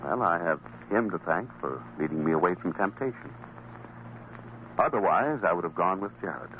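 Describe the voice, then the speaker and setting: A man's voice: deep voice, lively intonation, moderate pace. A man acting a hesitant, earnest middle-aged father in an old-time radio drama. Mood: relieved, reflective. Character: troubled father with a gambling weakness, excitable yet merciful. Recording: tinny, noisy old radio recording.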